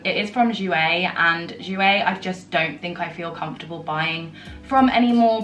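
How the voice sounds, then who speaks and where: Croaky-voiced British woman reviewing makeup. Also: fairly low pitch, recorded from a distance. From United Kingdom. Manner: chatty, frequent "like" fillers. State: croaky, deeper voice from a sore throat. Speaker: beauty YouTuber. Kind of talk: casual product review vlog. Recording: echoey room, a bit off-mic, soft music.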